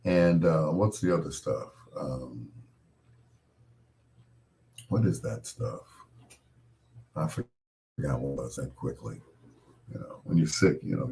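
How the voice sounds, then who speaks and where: A middle-aged man with a very low voice, gone hoarse from a cold. He hosts a late-night radio show in a loose, rambling, folksy way and chuckles at his own corny jokes. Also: fairly even intonation, measured pace, pauses often, warm tone.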